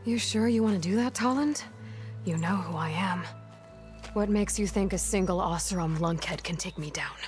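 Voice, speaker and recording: An actress playing a capable, compassionate young hunter who speaks calmly and steadily in a game cutscene. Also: female voice, on the low side, normal speed, faint music behind, close to an everyday voice. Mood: confident. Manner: calm, steady. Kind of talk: video game cutscene acting.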